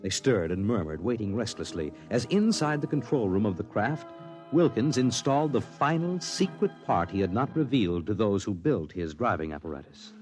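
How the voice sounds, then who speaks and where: A man narrating a 1950s radio drama in an expressive, storytelling way. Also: fairly low pitch, normal speed, soft background music.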